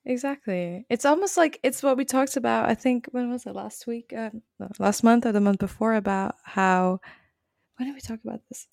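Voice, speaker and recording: Gravelly-voiced co-host, not a native speaker. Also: female voice, on the high side, moderate pace, a little mellow, dry recording, studio quality. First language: not English. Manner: thoughtful, searching for words. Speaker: podcast co-host. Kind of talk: casual film discussion.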